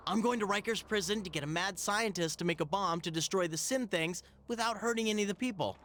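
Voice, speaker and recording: An actor playing a young, wisecracking but weary superhero in a video game cutscene. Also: male voice, high voice, fast talker, a bit bright, slight background noise. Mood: resolved, hurried.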